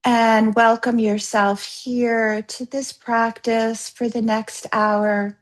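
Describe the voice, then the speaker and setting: Slow-paced woman guiding meditation. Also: on the high side, level tone, rough recording. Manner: soothing, unhurried, gently instructing with pauses. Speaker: yoga therapist leading guided practice. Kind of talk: guided yoga nidra meditation.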